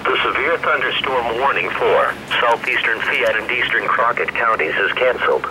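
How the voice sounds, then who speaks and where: A man's voice: mid-range voice, normal speed. A man's synthetic-sounding voice reading a formal, impersonal emergency weather bulletin from a radio speaker. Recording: noisy weather radio speaker, re-recorded in room.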